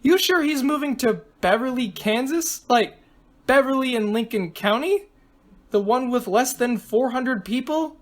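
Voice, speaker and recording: Woman acting an exuberant, high-pitched teenage girl. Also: unhurried. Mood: chatty. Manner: gushing, exclamatory. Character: exuberant, loud, excitable teenage girl reality-show contestant.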